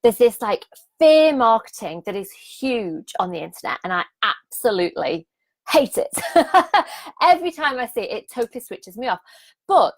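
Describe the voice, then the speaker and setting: A slightly croaky woman in her thirties with a fairly high voice coaches her viewers on a livestream. She is upbeat and repeats her points, keeps asking the audience to drop comments, and laughs easily. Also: very animated, moderate pace. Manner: enthusiastic, repetitive, invites comments, laughs often. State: a bit croaky. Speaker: business coach hosting a live challenge. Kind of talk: live-streamed coaching talk.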